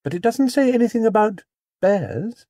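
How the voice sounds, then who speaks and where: A man's voice: high-pitched, expressive, moderate pace, warm tone, no echo, studio quality, voice pushed up. A man voicing an innocent, plucky young girl in a storybook, sweet but firm in manner.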